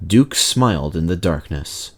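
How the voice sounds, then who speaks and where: A man reading a children's story aloud in an animated, expressive narrating style. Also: deep voice, measured pace. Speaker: storybook reader.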